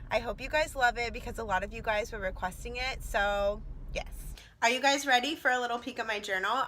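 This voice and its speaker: A young woman vlogging to her camera. She is losing her voice and sounds raspy, and she gushes with excitement and fills her talk with "like". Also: medium pitch. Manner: excited, gushing, many "like" fillers. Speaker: vlogger and teacher. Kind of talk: casual talking-to-camera vlog.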